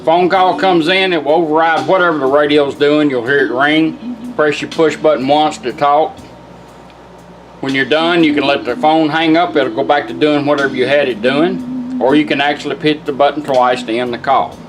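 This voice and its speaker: A man's voice: on the high side, fairly even intonation, normal speed, poor audio. A middle-aged man with a Southern American accent gives a casual, plain-spoken hands-on product demonstration, explaining it step by step. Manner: folksy, plain-spoken, instructional. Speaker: car radio builder demonstrating product. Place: indoor workbench. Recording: music playing behind the voice. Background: music and radio from demonstrated car stereo.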